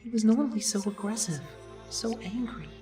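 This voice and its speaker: A woman's voice: mid-range voice, expressive, moderate pace, mellow, soft background music, natural voice. A woman playing a troubled, reflective young character, narrating somberly in an anime fandub. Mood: somber reflection. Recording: distant from the mic.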